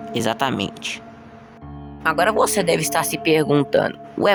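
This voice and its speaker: A young man with a Brazilian accent hosting a casual explainer, telling a story informally and talking straight to viewers. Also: on the high side. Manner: informal, conversational, addresses viewers directly. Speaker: video host. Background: music.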